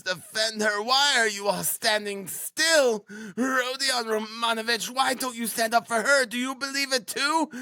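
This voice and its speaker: A frantic, impoverished widow in a dramatic audiobook. The voice is pushed high and wails with defiance and desperation, stretching its cries, in a very dry recording. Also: male voice, vocal fry, sharp tone, no room sound, full character voice. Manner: wailing, dramatic, breaks into drawn-out cries. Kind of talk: dramatic audiobook character acting.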